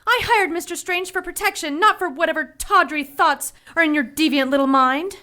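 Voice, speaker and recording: A woman's voice: very high-pitched, moderate pace, pushed and bright. An actress playing a loyal, indignant 1940s female client in a dramatic noir radio play.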